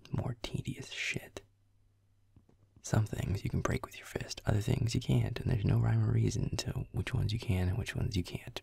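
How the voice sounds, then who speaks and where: A man plays a young male werewolf boyfriend in an intimate audio roleplay. His voice is very low and heavily fried, often sinking into a breathy near-whisper. He grumbles grudgingly and sarcastically, with plenty of swearing. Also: a little mellow, no room sound, exaggerated voice. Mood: irritated, exasperated.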